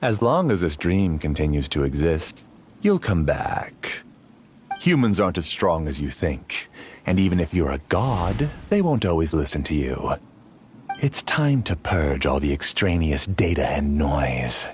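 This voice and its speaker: A man's voice: a bass voice, big pitch swings, unhurried, a little mellow, slight background noise, heavily acted. A man voicing a scornful, theatrical video game villain who talks in a condescending, grandiose way. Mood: smug, disdainful. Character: scornful, theatrical male villain, obsessive master of a dream.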